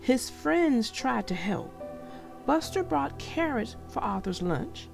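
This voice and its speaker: A woman reads a children's story in a fairly high voice with some creak in it. Her delivery is expressive and takes its time. Music plays behind her. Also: slow. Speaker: channel host and children's story narrator. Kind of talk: read-aloud storybook narration for young children.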